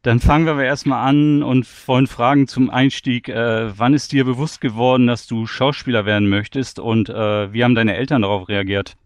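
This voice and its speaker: A man's voice: mid-range voice, fairly even intonation, a little fast, few pauses, smooth, no room sound. A man hosting a podcast interview, moderating in a friendly, conversational way.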